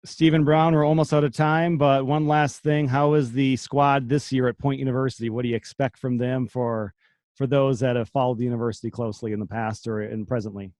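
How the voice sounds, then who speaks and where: A man's voice: mid-range voice, level tone, a little fast, darkish tone, dry recording. A man in his thirties hosting a podcast, asking thoughtful, reflective questions in conversation. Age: 30s. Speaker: podcast host. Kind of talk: conversational interview.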